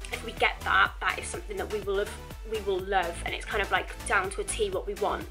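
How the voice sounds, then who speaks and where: A woman's voice: mid-range voice, sharp tone. A young woman, answering viewer questions about her home renovation in a chatty, rambling vlog. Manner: chatty, upbeat, rambling with restarts. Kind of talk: casual Q&A vlog. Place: indoors at home, virtual backdrops.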